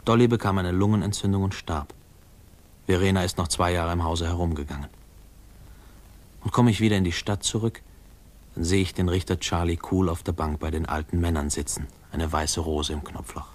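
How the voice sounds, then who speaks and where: A man's voice: sits low, fairly even intonation, fairly quick, darkish tone. An actor playing a grown man remembering his boyhood, narrating a 1950s radio drama in a reflective, lyrical way. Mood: melancholy, reflective. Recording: faintly noisy old radio recording.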